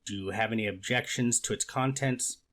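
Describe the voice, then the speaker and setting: A man's voice: fairly low pitch, fairly quick, fairly bright tone, close to an everyday voice. A man playing a formal, methodical court official in a scripted readers theater courtroom drama. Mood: procedural, authoritative. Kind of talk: amateur readers theater, scripted legal reading.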